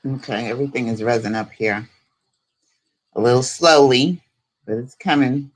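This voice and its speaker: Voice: medium pitch, moderate pace. A live stream host chatting casually and informally, thinking aloud while giving a tour of a virtual world.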